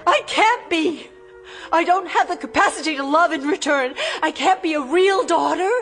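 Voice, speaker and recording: A woman's voice: extremely high pitch, normal speed. An actress voicing a young robot woman who learns she was built as a daughter, in a radio drama with music beneath. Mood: bitter. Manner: desperate and defiant, later flat and servile. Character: young female robot daughter, anguished then docile. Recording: with music underneath.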